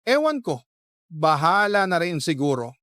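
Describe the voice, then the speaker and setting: A man's voice: fairly high pitch, sing-song, unhurried, fairly bright tone, dry recording. Male actor, self-sacrificing young woman, radio drama, hesitant, resigned, devoted, dry close sound.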